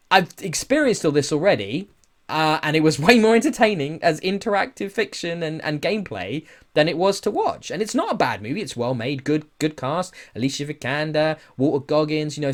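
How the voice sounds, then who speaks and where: A man in a casual podcast discussion, giving opinions in an animated, sweary way with rhetorical questions. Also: a little high, a little fast. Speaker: podcast host.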